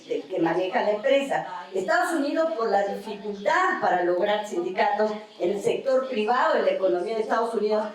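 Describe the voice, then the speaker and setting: A middle-aged woman with a Mexican accent, an academic panelist giving a measured, explanatory seminar talk with tag questions. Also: on the high side. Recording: very echoey hall from far off.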